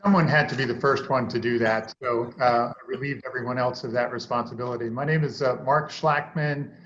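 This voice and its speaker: Genial older-sounding guy on a muffled video call, flat tone, lots of uhs. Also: mid-range voice, a little hiss or hum. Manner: genial, halting with fillers. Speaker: policy advisor and panelist. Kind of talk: online panel introduction. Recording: dull lo-fi video call audio.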